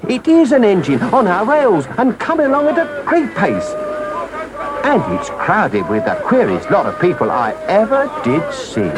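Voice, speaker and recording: A man's voice: medium pitch, huge pitch swings, moderate pace, hardly any pauses, loud music behind the voice. A man voicing a stern but kindly engine driver in a storybook, earnest and plain-spoken. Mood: puzzled, alarmed.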